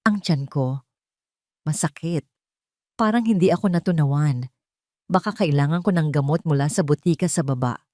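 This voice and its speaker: A woman voicing a meek, frightened young woman who softly pretends all is normal in an audio drama. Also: low voice, normal speed, long pauses, no room sound, clean studio sound, natural voice. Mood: pained. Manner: soft, feigned normality.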